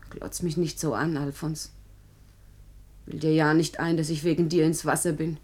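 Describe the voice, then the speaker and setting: An actress in a German radio drama playing a fragile, desperate young jilted woman, halting and often hushed to a whisper. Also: sits low, little pitch movement. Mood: bitter, defiant.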